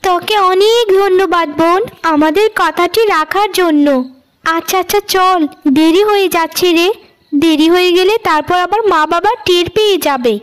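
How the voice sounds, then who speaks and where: Cartoon scheming big sister , played by a woman: shrill-high, sugary, coaxing young woman. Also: normal speed, low-quality recording. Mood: coaxing, falsely sweet. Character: scheming elder sisters, falsely sweet young women. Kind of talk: Bengali cartoon moral tale.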